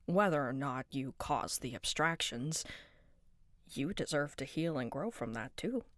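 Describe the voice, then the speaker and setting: A woman voicing a gentle, wise older male cartoon chess piece who speaks softly and slowly to console, at times hoarse and nasal. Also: rumbling low, animated, no room sound, clean studio sound, voice pushed down, exaggerated voice. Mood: consoling. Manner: soft, slow, consoling. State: sometimes hoarse and nasal from being sick.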